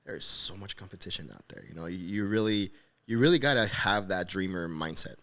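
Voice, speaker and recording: A man from Honduras hosts a podcast, with a fairly low voice. His talk is laid-back and motivational, full of casual check-ins with his guest. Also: animated, fairly quick, a bit bright. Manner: laid-back, motivational, keeps saying "you get me". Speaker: podcast host. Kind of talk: casual interview chat.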